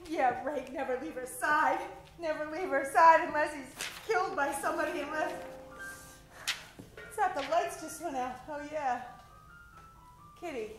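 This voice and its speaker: A woman's voice: high-pitched, a little slow, long pauses, faint music behind. A woman playing a jaded, easily frightened female convict in an old-time radio drama, with theatrical, hesitant delivery. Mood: bitter, weary. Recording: echoey live stage.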